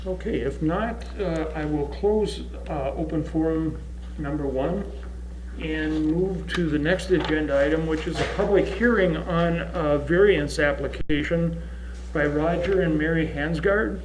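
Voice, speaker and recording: A man's voice: on the high side, unhurried. A man chairing a planning commission meeting, polite and procedural as he moves through the agenda. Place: city council chamber, indoors. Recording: echoey room with background hum.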